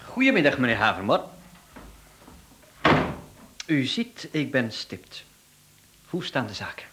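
A man's voice: mid-range voice, wildly swooping pitch, slow pace, very noisy. An actor playing a polite, teasing, insinuating hired killer who talks like a businessman in a radio play. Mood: politely businesslike.

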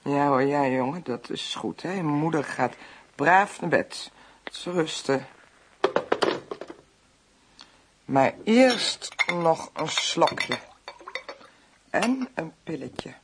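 A woman's voice: low-pitched, expressive, takes it slowly, heavy background noise. A woman playing a drunken, bitter elderly mother in a Dutch radio play, slurred and rambling. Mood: meek, tipsy. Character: drunken, bitter elderly mother threatening violence, then meekly obedient.

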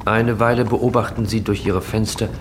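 An actor playing the sober, observant narrator of a German radio play, describing scenes in a measured way. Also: male voice, fairly low pitch, flat delivery, close to an everyday voice. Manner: measured, descriptive, present-tense. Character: sober, observant male narrator of a funeral procession. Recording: noisy old radio drama recording.

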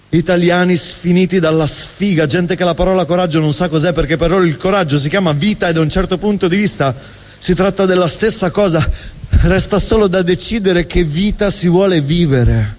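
High-voiced man, reverberant stage monologue. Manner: emphatic, rhetorical, with deliberate repetition. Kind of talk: theatrical spoken monologue to an audience. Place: live stage in a reverberant venue. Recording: reverberant hall, live stage sound.

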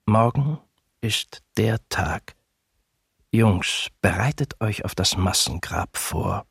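An actor playing a German soldier recounting the capture of a city in a documentary radio drama. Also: male voice, lowish voice, expressive, takes it slowly, gravelly, breathy edge, no room sound, clean studio sound, exaggerated voice. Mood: grim, menacing.

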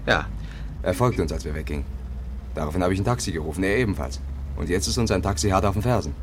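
Male actor as bantering husband, deep voice, quick curt delivery, dry wit, suspicious, noisy 1950s radio play. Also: lightly acted. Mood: tense, suspicious. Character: wry, suspicious husband, brisk and teasing. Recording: noisy vintage radio recording.

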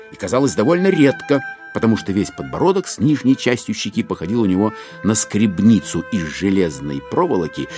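A man with a lowish voice narrates classic literature for an audio drama. His delivery is theatrical and lively, rising and falling freely, with faint music behind him.

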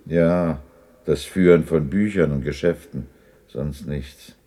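An actor playing a regretful old miser in a radio play, looking back with a wistful, emotional delivery. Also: male voice, rumbling low, takes it slowly, mellow, exaggerated voice. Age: older. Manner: wistful, heavy-hearted. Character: regretful old miser revisiting his past. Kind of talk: 1960s German radio play acting.

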